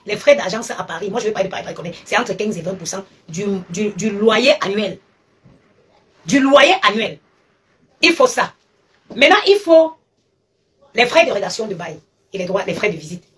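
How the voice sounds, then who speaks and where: A woman's voice: mid-range voice, expressive, brisk, pauses often, a bit bright, slightly rough. A woman ranting and lecturing on her live stream, talking emphatically and repeating her points, recorded on a phone. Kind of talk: animated live-stream rant.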